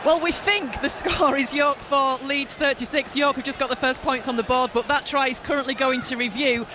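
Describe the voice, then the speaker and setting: A woman's voice: high-pitched, steady tone, moderate pace. A woman reporting live score updates from a sports ground, with outdoor ambience behind her. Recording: clear ambient noise behind.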